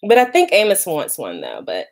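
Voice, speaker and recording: A woman's voice: lowish voice, wildly swooping pitch, moderate pace. A woman, chatting casually on a live stream and reading out viewer comments. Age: 30s. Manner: chatty, lots of "like" and "y'all", laughs easily. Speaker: vlogger doing a live stream.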